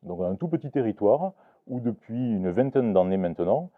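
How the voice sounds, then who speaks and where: Male lecturer, lowish voice, halting with restarts, tinny phone-like recording. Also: expressive. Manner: hesitant, many restarts and fillers. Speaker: Occitan teacher giving a talk. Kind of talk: live lecture to an audience. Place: book fair talk.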